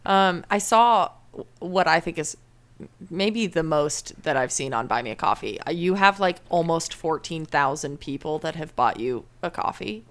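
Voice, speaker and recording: A woman's voice: deep voice, expressive, normal speed. A woman hosting an interview, friendly and enthusiastic, often laughing. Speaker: podcast host. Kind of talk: casual interview chat.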